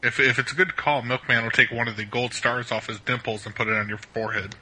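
A man's voice: medium pitch, steady tone, quick pace, pushed and bright, a little hiss or hum, rough recording. A brash, profane man bantering crudely and casually as a regular on a prank call show.

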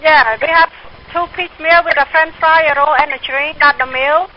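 High-voiced woman at a restaurant taking a phone order, hesitant, keeps apologizing, muffled line. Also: steady tone, normal speed, a little hiss or hum. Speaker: restaurant worker.

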